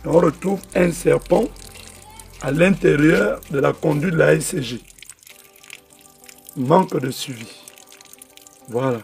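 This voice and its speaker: Indignant Gabonese man, gravelly and distant. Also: fairly high pitch, a little mellow. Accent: Gabonese French. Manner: indignant, complaining. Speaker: resident filming a complaint.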